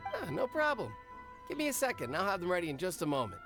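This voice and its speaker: An actor playing an earnest, eager-to-please food vendor in a video game. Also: male voice, high voice, huge pitch swings, quick pace, over music. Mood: obliging. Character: eager, deferential male food stall owner, inventive and earnest. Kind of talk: video game character acting.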